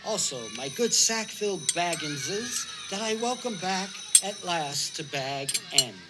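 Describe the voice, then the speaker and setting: A woman playing a jovial, eccentric elderly male hobbit in an audio drama, orating in a grand, deliberate manner. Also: fairly low pitch, expressive, heavy background noise. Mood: grandly jovial. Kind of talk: theatrical audio drama speech.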